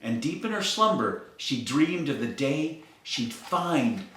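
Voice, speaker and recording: A man reads a rhyming picture book in a sing-song, expressive way. His voice is high and very lively, and he takes his time. The tone is bright and the room is very echoey. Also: slow pace. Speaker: storyteller. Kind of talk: children's picture book read-aloud.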